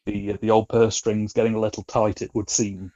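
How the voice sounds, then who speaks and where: A man's voice: on the low side, little pitch movement, moderate pace, dark tone, dull audio. A British man chatting casually as a podcast guest, with a wry, colloquial way of talking. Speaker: podcast guest, film reviewer.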